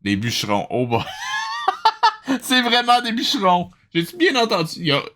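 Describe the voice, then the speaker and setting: A man's voice: sits high, huge pitch swings, moderate pace, over music. A man with a Quebec French accent doing casual comic commentary, incredulous and slangy, dropping in bits of English. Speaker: host reacting to old cartoons.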